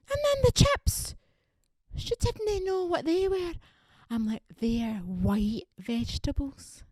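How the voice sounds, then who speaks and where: A woman's voice: very high voice, no echo, studio quality, pitched above the performer's own voice, heavily acted. A woman voicing a nosy, blunt Glaswegian woman with a broad Scots accent who gossips in an exaggerated stand-up character bit. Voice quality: broad dialect, sharp exaggerated delivery.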